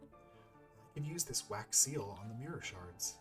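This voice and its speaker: A man's voice: medium pitch, very animated, measured pace. A man voicing a curious, earnest young adventurer heroine in video game dialogue, with music behind him. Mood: curious, wondering.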